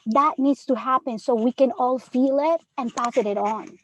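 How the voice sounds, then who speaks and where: A woman's voice: a little high, fairly even intonation, moderate pace, dull audio. A woman from Peru, a third grade teacher, speaking earnestly on a panel discussion and often asking "right?". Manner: earnest, emphatic, many rhetorical "right?".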